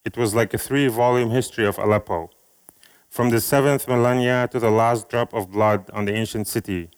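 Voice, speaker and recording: A man from Syria whose first language is Arabic, giving a slow, measured reading of a speech and a poem at an event. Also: medium pitch, steady tone, rough recording. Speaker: speaker at an event.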